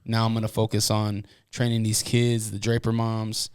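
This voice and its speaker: A man's voice: fairly low pitch, completely flat delivery, normal speed, clean tone. An American man hosting a podcast, asking questions in an informal, slangy way. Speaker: podcast host, interviewer. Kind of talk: casual podcast chat.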